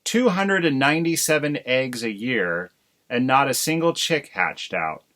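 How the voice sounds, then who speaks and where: A man reading aloud and voicing a fretful, indignant mother crow in a storybook, loud and aggrieved. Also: a little high, wildly swooping pitch, normal speed, pitched above the performer's own voice.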